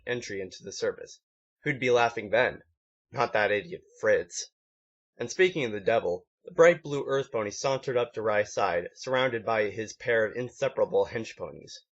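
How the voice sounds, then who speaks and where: A man's voice: on the low side, fairly bright tone. A man reading a story aloud as an amateur, in one take, now and then stumbling and correcting himself. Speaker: amateur fan fiction reader. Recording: dull lo-fi home recording.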